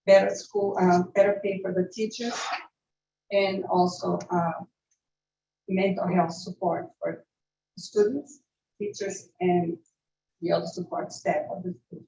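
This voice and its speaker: A hoarse, nervous woman from Brazil making a public comment at a city meeting, halting with many fillers and coughs. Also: on the low side, warm tone, slight background noise. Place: city commission chamber. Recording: distant roomy muffled hall mic.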